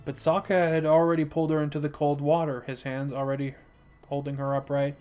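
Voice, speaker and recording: A man reading fan fiction as narrator, in an expressive storytelling style. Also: fairly high pitch, fairly quick, smooth, soft background music.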